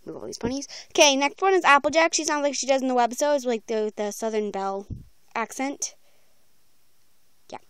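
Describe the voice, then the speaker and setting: A woman's voice: high-pitched, a little fast, long pauses, a bit bright, clean tone, no echo. A young woman talking casually and informally in a rambling, slangy vlog, like a creator announcing something to viewers. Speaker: video creator announcing voice auditions. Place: small room at home, bedroom. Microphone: handheld phone, close by.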